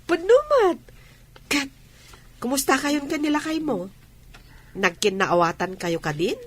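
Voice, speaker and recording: A woman's voice: sits high, very animated, a little slow. An actress playing a chatty, teasing, giggly woman friend in an Ilocano radio drama. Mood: teasing, curious.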